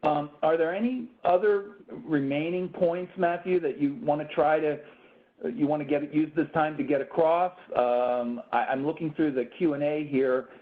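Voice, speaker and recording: A man's voice: fairly high pitch, steady tone, normal speed. A man moderating a videoconference, polite and hesitant with frequent restarts. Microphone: video call. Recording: phone-like video call audio.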